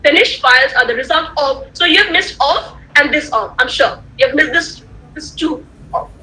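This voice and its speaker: A woman whose first language is Tamil, giving an animated online lecture to students, repeating points and checking that they follow. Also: high voice, moderate pace, poor audio. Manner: animated, repetitive, rhetorical questions to listeners. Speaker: guest lecturer. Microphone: computer mic on a video call.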